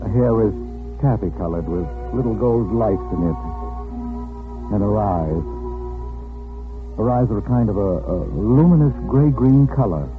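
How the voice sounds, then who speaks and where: A man plays a mild, nervous husband from a 1940s radio drama. His low voice hesitates and stammers as he dodges questions. The old recording is thin and tinny, with music behind. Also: animated, normal speed, pauses often, lightly acted. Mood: hesitant, dreamy. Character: nervous, mild, evasive husband with an imaginary wife.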